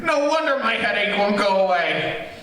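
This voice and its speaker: A man's voice: high voice, animated, moderate pace, pushed and bright. Man playing a grumpy, groggy husband, echoey and distant. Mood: agitated, self-pitying. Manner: groaning, stammering complaints. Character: grumpy, sleepy, put-upon husband with a headache. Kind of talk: old-time radio comedy sketch acting. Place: theater stage. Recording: distant, echoey theater live stream.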